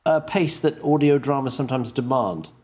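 A man with a medium-pitched voice chats casually on a commentary track. His delivery is halting, doubling back on words as he goes. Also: a little mellow. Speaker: podcast creator giving commentary. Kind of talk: casual group commentary chat.

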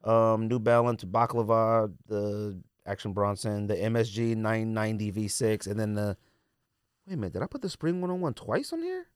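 A man's voice: on the low side, animated, moderate pace, clear, resonant tone, dry recording, studio quality. A man hosting a podcast in casual, slangy chat, reading out results and reacting informally. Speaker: podcast host. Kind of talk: casual chat about sneaker poll results.

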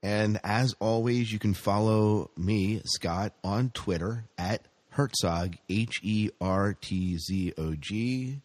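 Male podcast co-host, low register, bantering and excitable, casual delivery, close dry sound. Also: slow pace, hardly any pauses, no echo.

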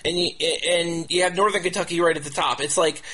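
Higher-pitched man talking sports, halting and repetitive. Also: fairly even intonation, bright, forceful tone. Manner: halting, repetitive, restarts phrases over and over. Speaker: podcast host. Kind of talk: casual sports talk.

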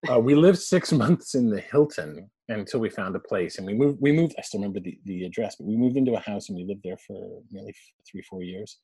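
A man's voice: fairly low pitch, lively intonation, a little fast, hardly any pauses, mellow, breathy edge, no echo, rough recording. A man in his forties, a guest telling family stories in a chatty, laughing way. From born in Canada, raised abroad. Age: 40s. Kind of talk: casual storytelling interview.

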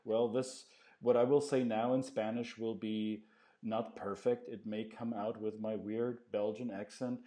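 A man hosting an interview podcast, speaking in a measured, thoughtful way and reflecting back what his guest says. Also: fairly low pitch, flat delivery, warm tone. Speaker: podcast host.

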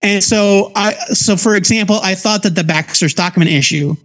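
A man's voice: sits high, normal speed, a bit bright. An American man in his forties giving casual, conversational commentary as a podcast co-host. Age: 40s. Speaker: podcast co-host reviewing comics.

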